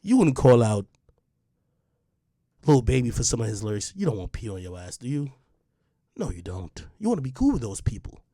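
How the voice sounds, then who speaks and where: A man's voice: mid-range voice, very animated, moderate pace, pauses often, dark tone, no room sound, clean studio sound. A young adult man delivering a confrontational rant straight at the listener, repeating his points with heat. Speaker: online media personality. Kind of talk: direct-to-camera rant.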